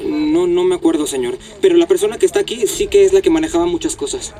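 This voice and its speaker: A man's voice: high-pitched, quick pace, warm tone, lightly acted. A man playing a young kidnap victim, nervous, hesitant and deferential as he gives testimony.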